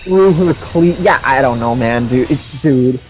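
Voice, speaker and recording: A man's voice: medium pitch, measured pace, music in the background, poor audio. A man chatting casually on a game stream, with slangy, sweary talk.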